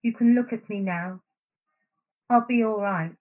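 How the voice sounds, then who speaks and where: A woman's voice: mid-range voice, measured pace, natural voice. An actress playing an anguished, guilt-ridden woman in a secret love affair in a radio play, earnest and fretful. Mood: subdued, resigned. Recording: thin phone-like sound.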